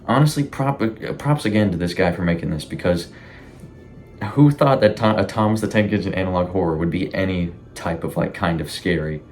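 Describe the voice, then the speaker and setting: Very deep-voiced man rambling casually. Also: brisk, a little mellow, faint music behind. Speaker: YouTube host. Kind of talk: casual commentary on a horror series.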